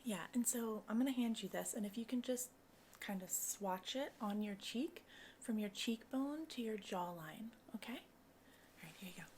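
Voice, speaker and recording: A soft-spoken woman doing an ASMR cosmetics consultation roleplay, breathy and often slipping into a whisper, explaining each step in a casual, reassuring way. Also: a little high, moderate pace, slight background noise.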